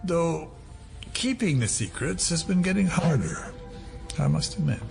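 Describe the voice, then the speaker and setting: Veteran conspirator, audio drama. Guarded, polite, sly, with a swinging intonation and clear music underneath. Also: male voice, fairly high pitch, expressive, measured pace, exaggerated voice. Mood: guarded, deferential. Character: shadowy veteran male conspirator, deferential yet sly.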